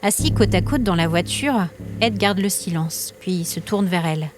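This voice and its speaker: A woman narrating a scripted supernatural story as a podcast host, in a measured, literary and suspenseful storytelling manner. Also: lowish voice, noisy background.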